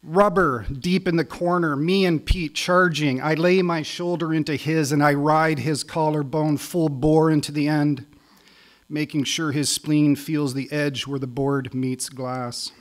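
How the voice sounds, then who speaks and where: A middle-aged Canadian man performing as a spoken word poet on stage, with a wry, deliberate delivery. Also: a little high, steady tone. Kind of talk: live poetry recital with casual lead-in.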